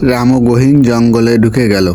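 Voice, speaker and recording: A man tells a cartoon folk tale at a measured storybook pace, with mid-range pitch. Loud music plays behind him throughout. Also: steady tone. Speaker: narrator. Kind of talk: scripted storytelling narration.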